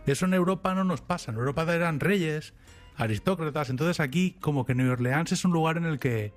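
Chatty man, rambling storyteller. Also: on the high side. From Spain. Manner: rambling, animated storytelling with restarts. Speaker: podcast co-host. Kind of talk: casual chat.

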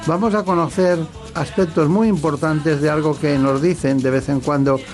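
A man's voice: a little high, fairly even intonation, normal speed, a little mellow, soft background music. A man hosting a radio health show, interviewing a guest in a chatty way with frequent "eh" fillers.

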